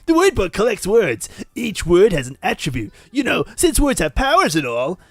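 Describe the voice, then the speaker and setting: Demon fox guide, acted by a woman: instructive, teasing, slightly smug, animated, dry close sound. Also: mid-range voice, normal speed, no echo, soft background music, pitched below the performer's own voice. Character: teasing demon fox spirit guide explaining game powers.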